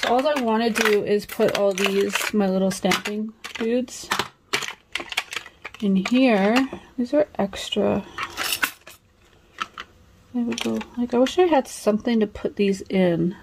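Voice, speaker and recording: A woman's voice: mid-range voice, takes it slowly, long pauses. Chatty woman vlogger thinking aloud, noisy recording. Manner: rambling, thinking aloud, self-questioning. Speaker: nail vlogger. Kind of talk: casual chatty vlog. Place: home nail studio room. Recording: clear background noise with clicks.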